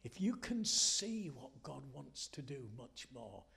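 A man preaching a Sunday sermon and telling stories, earnest and deliberate, with emphatic phrasing and dramatic pauses. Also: medium pitch, very animated, vocal fry, pushed and bright. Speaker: guest preacher, former pastor. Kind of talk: Sunday morning sermon, storytelling.

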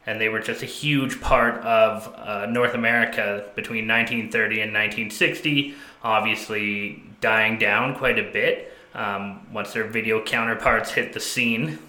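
A man's voice: on the low side, steady tone, a little slow, hardly any pauses, sharp tone, echoey room. A man co-hosting a podcast, chatting casually and enthusiastically in slangy banter with a friend. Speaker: podcast co-host.

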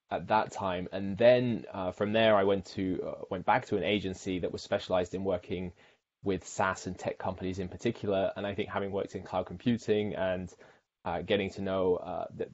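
A man's voice: deep voice, darkish tone, highs cut off. A man from the UK, a marketing director, talking casually as an interview guest with frequent fillers and restarts.

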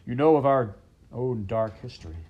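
A man reading aloud, voicing a stern, pompous king who is quick to anger and shouts his commands. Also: medium pitch, lo-fi sound, natural voice.

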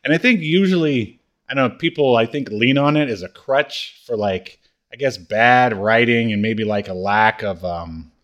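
Halting man chatting on a podcast. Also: medium pitch, expressive, fairly bright tone, muffled recording. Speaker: podcast co-host. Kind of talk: casual chat recapping a comedy show.

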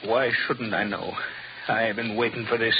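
A man's voice: lowish voice, level tone, moderate pace, lightly acted. An actor in an old radio suspense drama playing a menacing older man bent on revenge, gloating and sardonic, with theatrical, mocking emphasis. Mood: vengeful, gloating. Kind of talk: 1940s radio suspense acting. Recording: muffled, hissy old-time radio recording.

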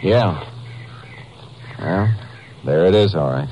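A man playing a steady, laconic Texas Ranger in 1950s radio drama, terse and folksy, with an American accent. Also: deep voice, slow. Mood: watchful. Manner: terse, folksy, matter-of-fact. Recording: noisy, muffled 1950s radio recording.

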